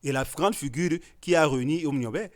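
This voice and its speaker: A man's voice: a little high, fairly quick. A man from Cameroon, an artist arguing hard in a panel discussion. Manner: emphatic, rising to forceful declarations.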